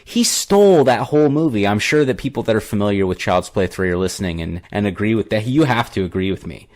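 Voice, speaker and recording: Young male podcast co-host, low voice, casual and filler-heavy. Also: lively intonation, fast, darkish tone. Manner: rambling, filler-heavy retelling. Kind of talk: casual movie review chat.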